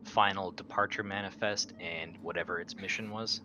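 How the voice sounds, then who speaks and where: A man's voice: low-pitched, steady tone, moderate pace. A man playing a captain in a tabletop roleplaying game, chatting casually with a dry joking streak. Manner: joking, sarcastic, swearing. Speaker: player as ship's captain. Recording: clear background noise.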